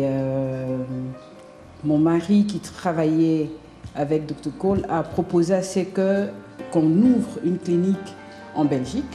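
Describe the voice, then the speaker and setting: A woman from Congo in her forties, answering interview questions in a halting way with many fillers and restarts. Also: low voice, warm tone. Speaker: interviewee, hair clinic co-founder. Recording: roomy echo.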